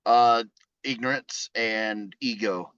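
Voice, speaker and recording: A man's voice: medium pitch, steady tone, takes it slowly, a bit bright, clean tone, no echo, muffled recording. A man on a sales call answering questions bluntly, swearing freely and restarting his sentences. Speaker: sales rep prospect. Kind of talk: casual phone call.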